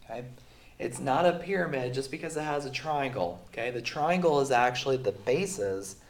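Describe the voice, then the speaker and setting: A man's voice: medium pitch, moderate pace. A man teaching a math lesson while sick, talking casually with lots of fillers. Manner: casual, filler-heavy explaining. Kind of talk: classroom lesson recording. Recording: echoey room, far from mic.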